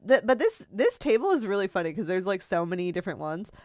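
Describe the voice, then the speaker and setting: A young American woman co-hosting a podcast in casual chat, animated and informal, prone to giggling. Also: medium pitch, fast, dry recording, clean studio sound. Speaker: podcast co-host.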